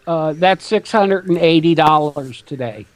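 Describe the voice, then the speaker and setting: A man hosting a trading talk show, talking slowly and haltingly as he works out price numbers. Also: a little high, lively intonation, dry recording. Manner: slow, halting, counting out numbers. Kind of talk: casual talk radio.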